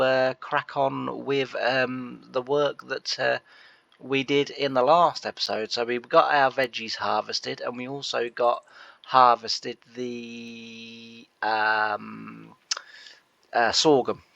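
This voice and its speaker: A man's voice: medium pitch, flat delivery, takes it slowly, vocal fry, a bit bright, dry recording, lo-fi sound. A man, nasal from a cold, rambling through casual gameplay commentary with many fillers. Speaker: gameplay host.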